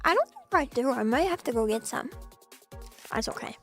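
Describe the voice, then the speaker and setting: Young female streamer, high soft voice, chatty and giggly, slightly scratchy throat, faint music bed. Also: lively intonation, a little fast. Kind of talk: casual chat.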